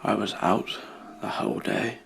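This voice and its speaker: An actor playing a frail, earnest, stubborn old businessman who speaks haltingly and pleadingly in a radio play. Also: lightly acted. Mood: anxious. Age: older.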